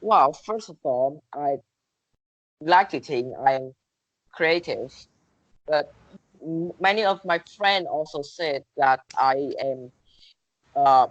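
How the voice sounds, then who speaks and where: A woman whose first language is Vietnamese answers contest interview questions in English. Her voice sits low and sounds dry and close. She hesitates often, filling gaps with "uh" and "like" as she reaches for words. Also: slow, long pauses, dry recording, highs cut off. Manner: hesitant, searching for words, many fillers.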